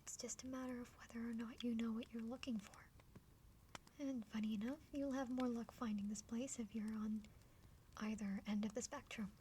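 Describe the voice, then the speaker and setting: A woman voicing a friendly, curious younger half-dragon sister in a breathy, chatty whispered ASMR roleplay. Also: fairly high pitch, fairly even intonation, a little fast, mellow, natural voice. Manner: chatty, playful, hesitant stammers. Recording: faint background noise.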